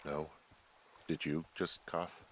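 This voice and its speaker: A man's voice: very deep voice, level tone, takes it slowly, noisy background. An actor playing a weary, plainspoken male fire lookout in a video game, speaking in a dry, hesitant, conversational way. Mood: uneasy. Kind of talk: naturalistic video game dialogue.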